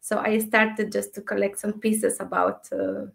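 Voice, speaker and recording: A woman in her thirties from Ecuador, who shares her story as an interview guest in a soft, reflective way, pausing often with hesitations. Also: mid-range voice, level tone, gravelly. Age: 30s. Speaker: guest, dream mentor.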